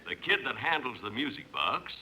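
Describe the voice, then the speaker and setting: Bright, husky ballad reciter. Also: male voice, mid-range voice, big pitch swings, moderate pace, heavily acted, low-quality recording. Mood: dramatic. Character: dramatic male narrator reciting a frontier ballad. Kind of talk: old radio verse recitation.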